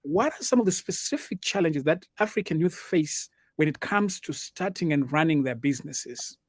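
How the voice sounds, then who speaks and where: A man's voice: fairly high pitch, animated, moderate pace, no room sound, studio quality. A man from Zimbabwe in his forties, moderating a business panel in a formal, welcoming way. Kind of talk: hosting a panel discussion.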